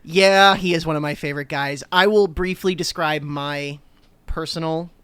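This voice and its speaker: A man co-hosting a podcast chats with eager, rambling energy. His voice sits a little high and comes through smooth and resonant. The sound is close and dry. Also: steady tone, normal speed, fairly bright tone, no echo. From New Jersey, USA. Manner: enthusiastic, rambling with many fillers. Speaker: podcast co-host. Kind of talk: casual chat.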